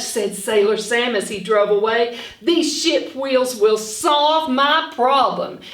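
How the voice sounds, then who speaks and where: A woman reading and teaching phonics to young children in an expressive, instructional way, sounding out words. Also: medium pitch. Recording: roomy echo, distant from mic.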